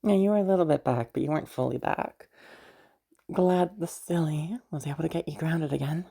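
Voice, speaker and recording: A low, mellow voice, a woman acting it out as a caring, slightly witchy party host in an audio roleplay. She is soft and husky, speaking straight to the listener with teasing playfulness and rambling, soothing reassurance. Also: fairly quick, full character voice. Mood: warm, comforting.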